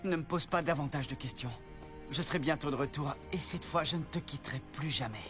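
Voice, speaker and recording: An actor playing a devoted, earnest young prince in a cartoon film. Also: male voice, on the high side, a little fast, music in the background, lightly acted. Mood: tender, urgent. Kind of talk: French cartoon film dub.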